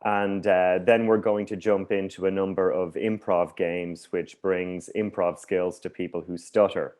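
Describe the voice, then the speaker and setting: Deep-voiced Irish man hosting. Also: little pitch movement, darkish tone. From Ireland. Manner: measured, with slight stutter blocks. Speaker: workshop host. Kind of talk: conference presentation. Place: video call.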